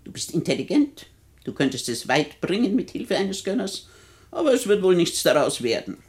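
Old widow, imperious, teasing, dry mockery, articulate, very animated intonation, vintage radio play. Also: female voice, lowish voice, normal speed. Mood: patronising. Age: older. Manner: imperious, dryly mocking, articulate. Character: sharp-tongued, teasing, bedridden rich old widow. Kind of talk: 1960 German radio play acting.

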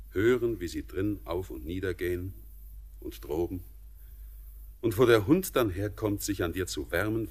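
An actor playing a stern but feeling mine foreman, speaking in an earnest, declamatory radio drama style. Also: deep voice. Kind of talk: poetic German radio play acting.

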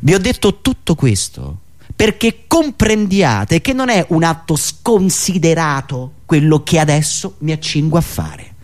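A man delivering a satirical political monologue in an indignant, sarcastic, declamatory manner with dramatic pauses and repetitions. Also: fairly high pitch, very animated. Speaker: satirical monologist and radio show host.

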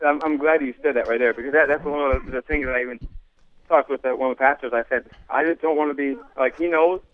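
Fast-talking man on a tinny phone line. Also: medium pitch, fairly even intonation, no room sound. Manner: deferential, loose colloquial phrasing. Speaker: caller to a radio show. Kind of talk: casual earnest chat. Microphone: phone call.

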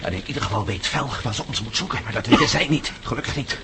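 An actor playing a stubborn, wry adventurer in trouble in a radio drama. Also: male voice, mid-range voice, lively intonation, quick pace, lightly acted. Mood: tense, resigned. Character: defiant, gritty adventurer hero held by a gang. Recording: very noisy old radio drama recording.

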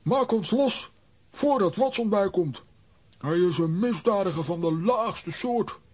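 High, blustering comic hero. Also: male voice, animated, unhurried, darkish tone, breathy edge, full character voice. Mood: indignant, urgent. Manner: indignant, theatrical. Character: blustering, hot-headed comic adult hero. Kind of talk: children's radio play acting.

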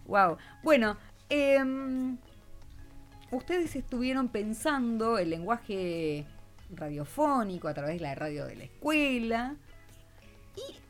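Argentine woman hosting, lively intonation. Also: a little high. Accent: Argentine, Rioplatense. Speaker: radio host. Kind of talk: casual live interview.

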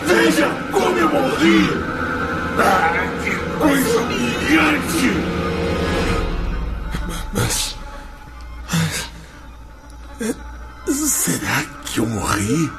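An anguished male ghost in a radio play, desperate and broken. His high voice swings wildly as he wails and pleads, over loud dramatic music. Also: huge pitch swings, drawn-out words, long pauses, distant mic, heavily acted. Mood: anguished horror. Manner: wailing, pleading, theatrical.

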